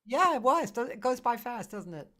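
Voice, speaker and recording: A woman hosting a relaxed, casual live interview chat. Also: a little high, normal speed. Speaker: host.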